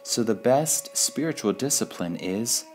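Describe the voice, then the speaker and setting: Male reader, deep voice, unhurried and deliberate delivery of spiritual quotations, music underneath. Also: lively intonation, darkish tone. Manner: measured, deliberate, with pauses between phrases. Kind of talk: slow devotional quote reading.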